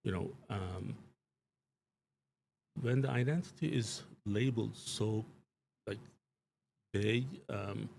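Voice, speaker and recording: Male studio guest: low, gravelly, emphatic, loose with fillers; slight background hiss. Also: slow pace, long pauses, darkish tone. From Turkey. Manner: emphatic, rambling, frequent fillers and restarts. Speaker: show guest commentator. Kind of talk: live studio political talk. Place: small studio.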